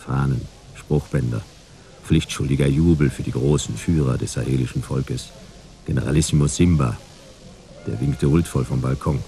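Very low, gravelly, jaded detective. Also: male voice, normal speed, mellow, soft background music. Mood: dry, sardonic. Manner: laconic, sardonic, clipped sentences. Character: jaded, wry male private detective narrating his case. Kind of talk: noir radio play narration and dialogue.

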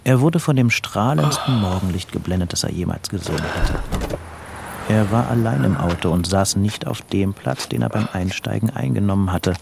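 A man narrating a literary audio drama from a script in a low, creaky voice. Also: normal speed, background noise.

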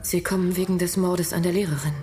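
Actress as low-voiced, careful female witness. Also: steady tone, quick pace, dark tone, natural voice. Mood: reserved, careful. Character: reserved, cooperative female witness giving careful testimony. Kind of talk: radio crime drama acting.

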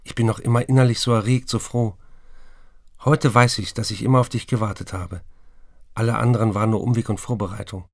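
A man's voice: lowish voice, steady tone, brisk, no echo, close to an everyday voice. An actor playing a besotted, restless writer in a radio play, reading his letters aloud with dry, precise, literary phrasing. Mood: tender, yearning.

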